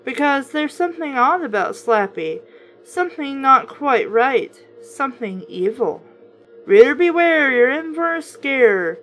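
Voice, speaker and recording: Higher-voiced woman, wry and chatty, over music. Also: animated, unhurried, a bit bright, poor audio. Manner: chatty, wry, skeptical asides. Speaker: host reading and reviewing a children's book. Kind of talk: casual read-aloud with offhand commentary.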